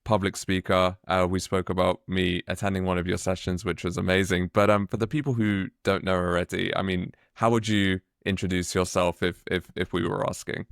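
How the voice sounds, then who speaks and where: A man's voice: low voice, flat delivery, fairly quick, vocal fry, hardly any pauses, clean tone, no echo, studio quality. A man hosting a podcast interview, friendly and a bit hesitant, with many fillers and repeats. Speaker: podcast host.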